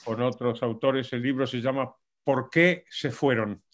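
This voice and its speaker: An older man from Argentina with a Spanish accent from Madrid that slips back toward Argentine speech. He tells his story as an interview guest in a fluent, measured way, at an ordinary pitch for a man. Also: fairly even intonation. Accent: Madrid Spanish mixed with Argentine. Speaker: guest economist.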